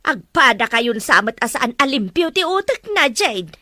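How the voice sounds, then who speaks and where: A woman's voice: sits high, sing-song, moderate pace, bright, forceful tone, no echo, clean studio sound, heavily acted. A woman acting a scolding, disapproving mother in a drama. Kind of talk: Ilocano-language drama dialogue.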